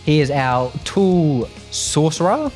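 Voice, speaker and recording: A man's voice: on the high side, very animated, slow, a little mellow, faint music behind. An Australian man bantering casually on a gaming podcast with a dry, self-deprecating manner. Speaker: tabletop podcast player.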